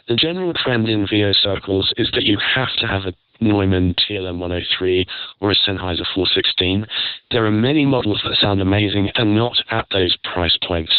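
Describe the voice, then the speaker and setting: A man's voice: fairly low pitch, smooth, no room sound. Man hosting through muffled, phone-like audio. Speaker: host of audience Q&A panel. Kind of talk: casual panel talk. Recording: narrow muffled phone-like radio sound.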